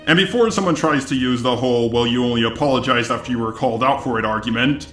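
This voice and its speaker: A man's voice: mid-range voice, fast talker, a bit bright, roomy sound, soft background music. A synthetic, robotic man's voice delivering an argumentative, scripted rebuttal as a commentary video host.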